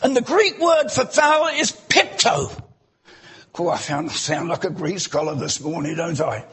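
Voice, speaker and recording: A man preaching a sermon in a church, animated, breaking into loud exclamations and asking the congregation rhetorical questions. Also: on the high side, measured pace, a little hiss or hum.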